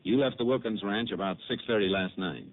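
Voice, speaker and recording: A man playing a deep-voiced, matter-of-fact ranger. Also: brisk, lightly acted. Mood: firm. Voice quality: calm, clipped, matter-of-fact. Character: steady Texas Ranger investigator and narrator. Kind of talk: 1950s radio drama narration and acting. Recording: tinny old radio broadcast.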